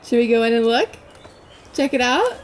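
A woman's voice: a little high, big pitch swings, moderate pace, a little hiss or hum. Chatty woman marvelling in a cave. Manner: chatty, marvelling, laughs easily. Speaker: vlogger and mother. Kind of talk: casual chat while exploring. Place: inside a rocky cave.

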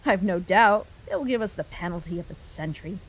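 A woman voicing a caring human child in a comic dub, a protective older sibling who speaks gently and reassuringly. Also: lowish voice, brisk, slightly rough, rough recording. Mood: cheerful, reassuring.

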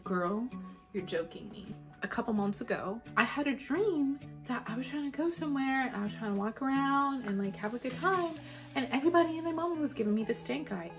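Young female vlogger with a high voice, dramatic casual storytelling from her kitchen over soft background music. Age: young adult. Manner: animated, dramatic storyteller who breaks into song. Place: home kitchen, indoors. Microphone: phone camera propped on counter.

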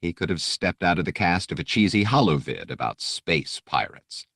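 A man narrates an adventure audiobook in an extremely low voice. The reading is scripted storytelling, captured close with a dry sound. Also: very animated, normal speed, a bit bright, no room sound.

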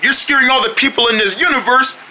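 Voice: sits high, fast talker, bright, forceful tone. A performer voicing a brave, boastful male general in a cartoon, talking in folksy, slangy tough talk. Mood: threatening. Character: brave, swaggering, boastful male general, self-appointed hero. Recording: noticeable background noise.

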